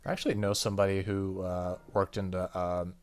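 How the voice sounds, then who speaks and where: Low-pitched man doing a let's play. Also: level tone, a little fast. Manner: stumbles over words when reading. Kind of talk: casual game commentary and reading game text aloud. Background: game music.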